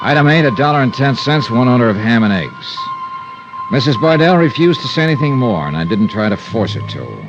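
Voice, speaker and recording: An actor playing a wry, cool-headed investigator in a 1950s radio crime drama. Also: male voice, medium pitch, very animated, brisk. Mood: grim narration. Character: hard-boiled, wry, cool-headed male insurance investigator. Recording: muffled old radio recording, music underneath.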